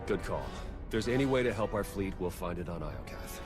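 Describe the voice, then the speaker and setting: A wry starship second-in-command in a sci-fi game. His lowish voice is informal and very animated, over loud music. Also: fairly quick. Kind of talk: video game cinematic acting.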